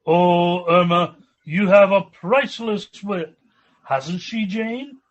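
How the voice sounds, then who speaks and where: Well-bred young businessman, played by a male actor: unhurried, genteel, stuffy, radio comedy, slightly muffled sound. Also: high-pitched. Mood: genial, stuffy. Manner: genteel, hesitant. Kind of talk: 1940s radio comedy acting.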